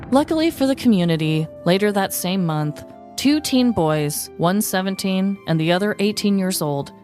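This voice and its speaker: Lowish-voiced woman, wry scripted storyteller. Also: darkish tone. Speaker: podcast host. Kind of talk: scripted comic true-crime storytelling.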